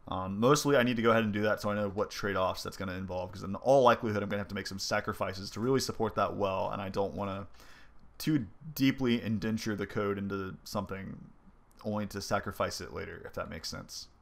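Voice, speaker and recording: A young man with a somewhat low voice, stuffy and congested as if from a cold. He talks casually and loosely, thinking aloud with frequent ums and likes as he narrates his own gameplay footage. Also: fairly even intonation, quick pace, creaky. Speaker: indie game developer. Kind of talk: casual devlog commentary over gameplay.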